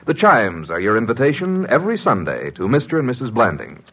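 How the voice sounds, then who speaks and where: A man's voice: mid-range voice, huge pitch swings, brisk, fairly bright tone, slightly rough. A man announcing in a polished, scripted, promotional 1950s network radio style. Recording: vintage radio broadcast recording. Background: music.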